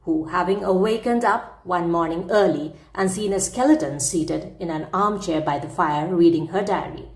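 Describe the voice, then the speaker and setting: A woman's voice: on the low side, moderate pace, hardly any pauses. Roomy-sounding woman reading a classic story. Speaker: story narrator. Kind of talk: scripted audiobook narration of a classic humorous ghost story.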